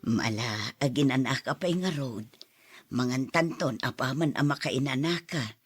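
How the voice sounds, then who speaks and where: A woman playing a gentle, caring older mother in an audio drama, speaking in a soothing, measured way. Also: rumbling low, husky, heavily acted.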